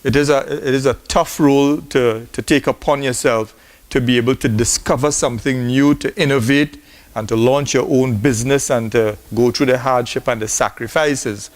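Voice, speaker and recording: A man's voice: medium pitch, fairly even intonation, moderate pace. A man giving a formal, earnest closing address at a university forum. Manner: earnest, exhorting.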